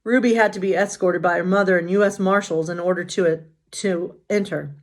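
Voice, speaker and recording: A woman pastor hosting bedtime stories, reading aloud in an informal, expressive way with casual asides. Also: fairly low pitch, normal speed. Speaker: pastor and bedtime story host.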